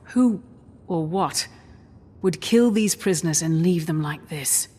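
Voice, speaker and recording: Low-voiced, serious adventurer heroine. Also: female voice, slow pace, darkish tone, husky, big-room sound. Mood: grimly curious. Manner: sober, terse, inquisitive. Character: serious, no-nonsense female adventurer hero, sober and impatient. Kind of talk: scripted video game dialogue. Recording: game music behind. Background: game music.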